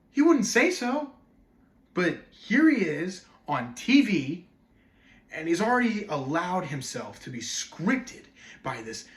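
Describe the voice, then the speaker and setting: A man's voice: high voice, bright, forceful tone, distant mic, voice pushed up, exaggerated voice. A man performing a dramatic monologue as an indignant cynic ranting about television, emphatic, sarcastic and conversational.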